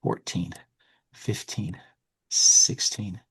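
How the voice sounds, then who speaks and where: A man hosting a podcast in casual chat, rambling and halting, often restarting his phrases. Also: low-pitched, fairly even intonation, pushed and bright, breathy edge. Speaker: podcast host.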